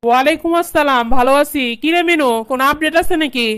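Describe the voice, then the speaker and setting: Woman playing a high-voiced scheming Bangladeshi mother-in-law. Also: fast, fairly bright tone, dry recording, clean studio sound. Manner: goading, opinionated. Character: meddling, scheming middle-aged cartoon mother-in-law. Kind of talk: comedy cartoon dialogue.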